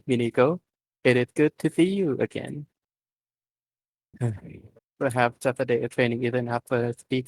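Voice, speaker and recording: A man narrates a story in an informal amateur readalong style. He trips over words here and there. His mid-pitched voice is recorded very dry, with hardly any room in it. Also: dry recording, rough recording. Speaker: reader of fan fiction at an online readalong. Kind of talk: live amateur story reading.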